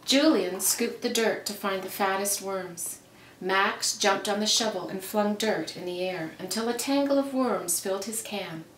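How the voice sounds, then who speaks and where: A woman reading a children's story aloud with careful, expressive, dramatic phrasing. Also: mid-range voice, unhurried. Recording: roomy, noisy, distant microphone.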